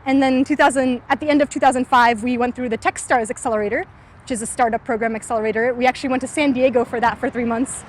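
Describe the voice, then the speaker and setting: A young woman from California answers interview questions while walking outdoors. Her voice sits high, and her speech is loose and relaxed, full of "like" and "um." Faint city noise hums under her. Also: fast talker. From California, USA. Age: 20s. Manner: frequent "like" and "um" fillers, thoughtful. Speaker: startup founder interviewee.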